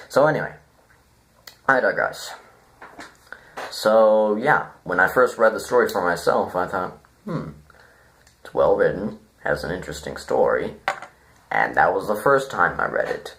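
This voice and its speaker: A man giving an informal, rambling critique to viewers, deadpan and self-deprecating, halting as he thinks aloud. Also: deep voice, slight background noise. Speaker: video reviewer.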